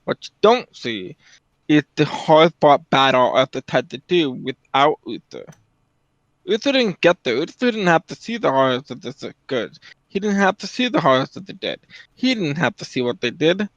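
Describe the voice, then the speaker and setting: A man's voice: on the high side, sing-song, normal speed, fairly bright tone, no echo, muffled recording. A man giving casual, opinionated commentary as a guest on a stream.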